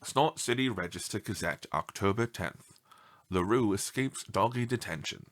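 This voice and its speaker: A man's voice: lowish voice, moderate pace, a bit bright. A man reading a storybook aloud as scripted narration, in an emphatic newsreader manner. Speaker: storybook reader.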